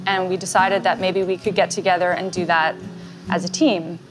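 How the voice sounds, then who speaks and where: A woman's voice: on the low side, level tone, moderate pace, poor audio. Friendly Canadian woman telling a story. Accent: Canadian English. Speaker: animal rescue co-founder. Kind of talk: fundraising appeal narration. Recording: music bed under speech.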